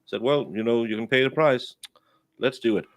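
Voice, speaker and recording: A man's voice: medium pitch, moderate pace, no echo. A man from Denmark, chatting on a casual stream and telling rambling stories full of restarts and fillers. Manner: rambling storyteller with many restarts.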